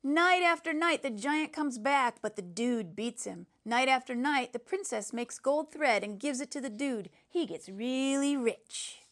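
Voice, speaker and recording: Brash, scornful schoolboy played by a woman reader, blunt and boastful, slangy storybook action telling, fairly high voice. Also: animated, a little slow, hardly any pauses, a bit bright. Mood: boastful, excited.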